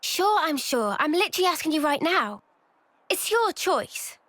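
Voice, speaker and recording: A woman's voice: high-pitched, sing-song, moderate pace, a bit bright, dry recording. A woman with a British accent playing a keen, earnest young female soldier in a video game cutscene. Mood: eager, insistent.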